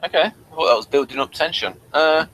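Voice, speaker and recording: A man's voice: on the high side, a little fast, a bit bright, low-quality recording. A man hosting a conversational review, reading notes aloud and adding dry, wry asides. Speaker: review show host.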